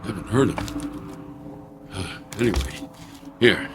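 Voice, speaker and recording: Casting: anxious older rural husband, slow halting delivery, swooping higher-set voice, radio thriller with music. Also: male voice, lively intonation, background noise. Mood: worried, tender. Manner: halting, stammering, folksy, fussing. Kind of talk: audio drama acting.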